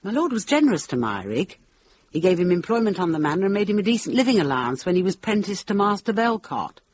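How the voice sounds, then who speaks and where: A woman's voice: mid-range voice, big pitch swings, fast, darkish tone, breathy edge. An actress playing a middle-aged widowed lady of a manor in a radio drama, genteel, candid and loyal. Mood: sorrowful, candid. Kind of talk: British radio drama acting.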